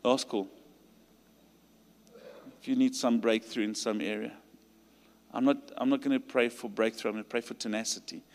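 A man with a South African accent preaching a sermon and leading a call to stand, his delivery rising to emphatic peaks and dropping to quiet pleading prayer. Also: mid-range voice, steady tone, normal speed, pauses often. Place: large church hall. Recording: long hall reverb.

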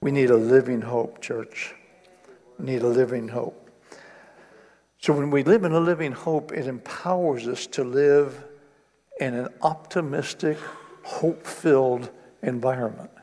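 A man's voice: mid-range voice, fairly even intonation, a little slow, pauses often, warm tone. A man preaching a sermon in an earnest way, building to emphatic repetition. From East Africa. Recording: long reverb of a hall.

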